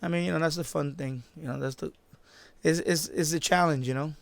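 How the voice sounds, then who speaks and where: A man chatting off the cuff on a live stream, halting and repeating himself as he circles back to his points. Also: a little high, fairly even intonation, gravelly, no echo. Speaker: live-stream host, radio hobbyist. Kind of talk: casual unscripted live-stream chat.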